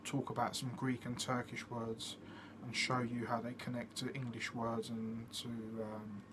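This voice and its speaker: Flat-voiced man, noisy recording. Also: medium pitch, unhurried. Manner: steady, plainly explaining, with frequent fillers. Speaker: channel host. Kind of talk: casual explanatory monologue. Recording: noticeable background noise.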